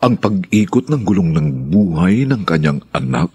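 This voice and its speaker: Filipino man, husky gravelly low voice, solemn theatrical radio drama narration, grandiose. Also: big pitch swings, moderate pace, mellow.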